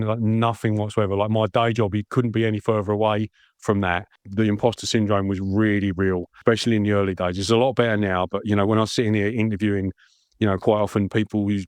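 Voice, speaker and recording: A man's voice: on the low side, clean tone, dry recording. Man, podcast host, enthusiastic, effusive, friendly interview chat, dry studio sound.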